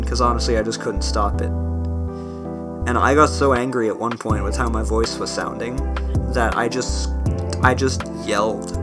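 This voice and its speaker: A young-sounding independent rapper, a man with a slightly gravelly strained voice, talking earnestly and rambling with restarts on a cheap home mic. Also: medium pitch. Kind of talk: spoken outro over a beat. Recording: spoken over clear background music. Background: guitar music bed.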